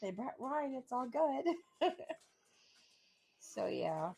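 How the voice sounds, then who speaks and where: Woman, radio co-host, easygoing chat, slow, slightly high voice, faint noise behind. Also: big pitch swings, creaky, warm tone. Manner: easygoing, giggles often. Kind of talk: casual on-air banter.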